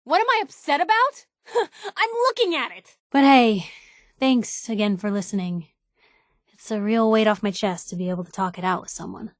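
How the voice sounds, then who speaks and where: A woman's voice: medium pitch, expressive, normal speed, no room sound, clean studio sound, lightly acted. A woman voicing a sardonic, outspoken young newspaper reporter companion in a video game, in a wry conversational way. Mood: angry, disappointed.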